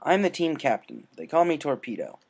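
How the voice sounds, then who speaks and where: An upbeat cartoon pony team captain played by a male reader. He talks like an encouraging coach, energetic and friendly, in a somewhat high voice. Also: brisk, creaky, full character voice. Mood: enthusiastic.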